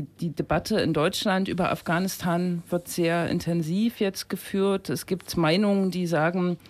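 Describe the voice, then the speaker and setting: A man hosting a radio show, talking informally with many fillers as he moves into an interview. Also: high-pitched. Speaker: radio host. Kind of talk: casual radio chat and interview.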